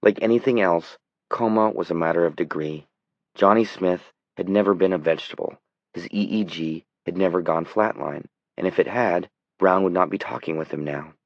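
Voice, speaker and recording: A deep-voiced man narrates dramatic fiction in audiobook style. The recording is very dry and slightly muffled. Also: moderate pace, dry recording.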